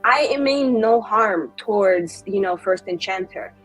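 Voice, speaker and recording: A woman's voice: medium pitch, lively intonation, unhurried, soft background music, low-quality recording. A woman playing a cocky, spiteful, foul-mouthed female mage in an improvised tabletop roleplay, with brash slangy delivery. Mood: sassy, spiteful.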